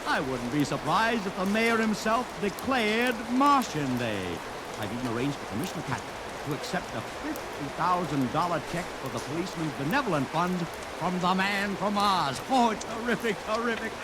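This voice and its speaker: An actor playing a brash, wheeling-dealing publicity promoter in an old radio drama, talking in salesman patter. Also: high voice. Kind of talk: 1940s radio acting. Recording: old radio recording with loud hiss and rain.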